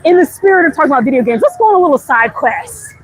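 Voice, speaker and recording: Female livestream host, higher-pitched, conversational and emphatic, recorded outdoors on the move with faint background noise. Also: big pitch swings, quick pace. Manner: candid, conversational, emphatic, addressing viewers directly. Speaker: content creator hosting a live walk-and-talk stream. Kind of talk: casual chatty walking livestream commentary. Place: outdoors, walking through a busy theme park. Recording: outdoor crowd and ride noise. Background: park ambience, passing ride noise.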